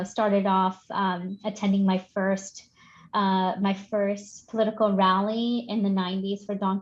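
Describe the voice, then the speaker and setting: A woman in her forties, born in Sri Lanka and raised in Canada, speaking as a guest in a podcast interview, thoughtful with many pauses and restarts. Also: medium pitch, a little mellow, muffled recording. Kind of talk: casual interview chat.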